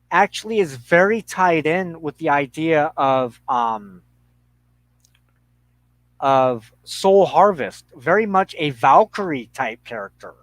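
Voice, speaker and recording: Slow, thoughtful middle-aged man. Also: on the high side, fairly bright tone, no room sound, low-quality recording. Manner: halting, thoughtful, pausing mid-sentence. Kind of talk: reflective conversational discussion.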